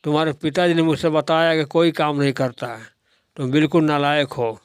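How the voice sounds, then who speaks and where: A man's voice: fairly high pitch, little pitch movement, fairly quick, clean tone, no echo, studio quality, close to an everyday voice. A man voicing a stern, worldly-wise older father in a moral-story cartoon, lecturing and admonishing.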